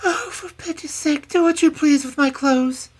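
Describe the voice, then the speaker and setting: Very high, plaintive young princess. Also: female voice, moderate pace, fairly bright tone. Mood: desperate pleading. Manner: plaintive, pleading, polite. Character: meek, sorrowful young princess, pretty and pleading. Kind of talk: storybook character voice.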